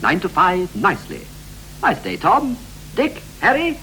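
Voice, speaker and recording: An actor playing a restless, wistful husband in a 1960s radio play, speaking in clipped, rhythmic, theatrical phrases. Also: male voice, high voice, huge pitch swings, measured pace, pushed and bright, exaggerated voice, poor audio. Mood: brisk, declamatory. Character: John, restless husband wistfully recalling youth and marriage. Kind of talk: 1960s BBC radio play, poetic stylised dialogue. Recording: 1960s radio recording with hum, hiss.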